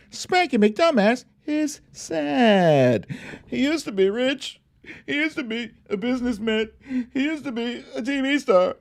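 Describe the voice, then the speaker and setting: An animated man talks like a playful livestream host. His voice sits fairly high and swings widely in pitch, and he takes his time over the words in a loose, casual way. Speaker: host of an online show. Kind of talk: casual livestream chat, comic picture-book reading and political commentary.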